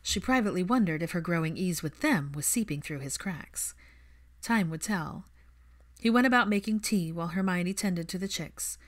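A woman's voice: lowish voice, expressive, moderate pace. A woman reading fiction aloud as an audiobook narrator.

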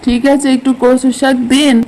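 A woman's voice: high voice, flat delivery, moderate pace, darkish tone, natural voice, low-quality recording. A woman playing a sheepish, food-loving village husband in a cartoon folk tale, muttering and exclaiming to himself. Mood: torn, muttering.

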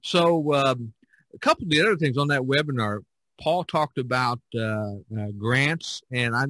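A man hosting a casual podcast discussion, speaking haltingly and repeating words. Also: medium pitch, sharp tone, no echo. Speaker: podcast host and artist.

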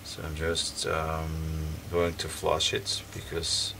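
Low gravelly man talking while tinkering. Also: monotone, slow. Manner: hesitant, many ums and restarts while working. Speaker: repair video host. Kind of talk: casual hands-on repair commentary. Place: workbench, indoors. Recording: distant mic with background noise.

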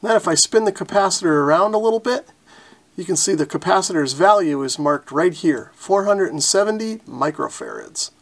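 A man's voice: high voice, lively intonation, moderate pace, gravelly. A man giving plainspoken, methodical how-to narration as the host of a repair tutorial.

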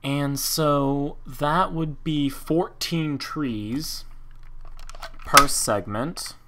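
A man's voice: a little high, extremely slow, sharp tone, a little hiss or hum. A man casually commenting on his game as he plays, thinking aloud and counting under his breath. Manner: thinks aloud, counts blocks, rambling asides. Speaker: Minecraft let's play host.

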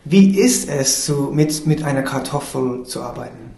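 A man hosting a radio interview in halting German, with many fillers and slips. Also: mid-range voice, lively intonation, vocal fry, dark tone. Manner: halting non-native German with fillers and English asides.

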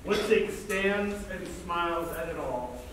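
A man reading stage directions aloud in a measured way at a staged play reading. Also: high voice, very noisy. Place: large indoor theatre stage. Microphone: far camera in audience. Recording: very echoey theatre, distant microphone.

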